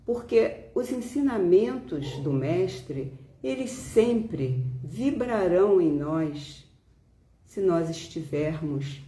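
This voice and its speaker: Very slow, solemn Brazilian woman. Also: lowish voice, lively intonation, warm tone, echoey room. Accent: Brazilian Portuguese. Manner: solemn, deliberate phrasing with frequent pauses. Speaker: spiritist speaker. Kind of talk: devotional talk with solemn reading.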